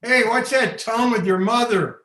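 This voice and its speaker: A man's voice: very high voice, a little slow, pushed and bright, exaggerated voice. A man playing a stern, pedantic father who draws out his rebukes, in a comedy script table read. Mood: indignant scolding. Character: scolding, pedantic father of a grown son. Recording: roomy echo on a video stream.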